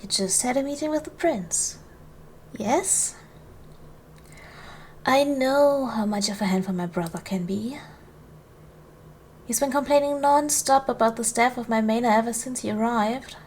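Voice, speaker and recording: A woman acts out a noble duchess in a historical ASMR roleplay. Her voice sits fairly high, with formal, measured phrasing that soothes yet carries quiet command. Some background noise runs beneath her words. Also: lightly acted. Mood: gentle, reassuring. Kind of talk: ASMR roleplay, soft formal period speech.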